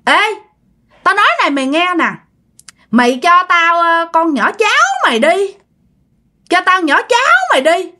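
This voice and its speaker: A woman's voice: very high-pitched, expressive, moderate pace, pauses often, sharp tone, voice pushed up, heavily acted. A woman voicing a menacing old beggar ghost in a ghost story, taunting, demanding and cackling. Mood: greedy, taunting.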